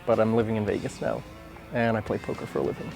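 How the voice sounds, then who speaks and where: A man's voice: on the low side, fairly even intonation, fast talker, creaky, a little mellow, soft background music. A man from New York state, a poker player, talking casually in an on-camera interview.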